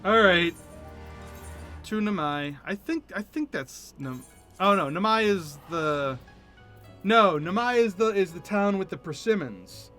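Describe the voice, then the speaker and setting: A man's voice: high voice, animated, a little slow, pushed and bright. A man streaming a game, chatting casually as he comments and reads dialogue, with game music behind him.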